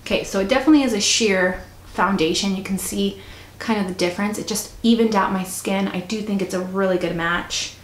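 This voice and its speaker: A woman with a cold talking casually through a makeup review, chatty and soft-spoken, sometimes close to a whisper. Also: mid-range voice, brisk. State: stuffy, fighting a cold. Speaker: beauty vlogger. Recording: echoey room, faint noise.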